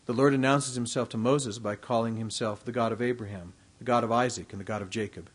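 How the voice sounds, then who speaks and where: Measured man giving a devotional address. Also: medium pitch, steady tone. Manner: measured, reads from a text. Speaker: university devotional speaker. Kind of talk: formal prepared address.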